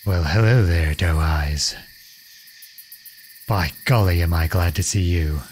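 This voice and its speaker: Man playing a low-voiced, earnest outlaw prince. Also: slow pace, darkish tone, slight background noise. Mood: relieved, reflective. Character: earnest, protective young outlawed prince leading a band. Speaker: audio roleplay performer. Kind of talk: ASMR roleplay acting.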